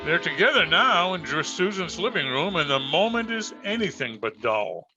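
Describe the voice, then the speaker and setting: A man's voice: a little high, big pitch swings, moderate pace, over music. A man announcing and narrating an old-time radio show from a script. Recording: dull, narrow video-call audio.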